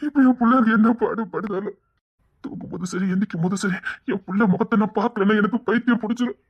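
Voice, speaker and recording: A man's voice: high voice, quick pace, breathy edge, dull audio. An actor playing a grieving father who weeps and pleads for his missing child, repeating himself over and over. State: crying. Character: grief-stricken father, desperate and stubborn. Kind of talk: tearful serial drama acting.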